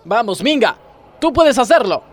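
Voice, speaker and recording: A man's voice: extremely high pitch, very animated, normal speed, soft background music, heavily acted. A man acting a pompous, moralizing gymnastics coach who makes grandiose speeches in a parody radio play. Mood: demanding. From Argentina. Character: pompous, moralizing male gymnastics coach, scolding yet easily distracted.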